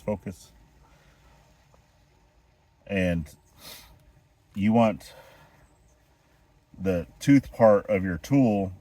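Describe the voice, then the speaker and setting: Brief: a low-voiced male homestead vlogger, congested from a chest cold, walking through a repair as he works outdoors. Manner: explains step by step while working, relaxed. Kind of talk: hands-on DIY how-to. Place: outdoors at a well house, kneeling on rocks.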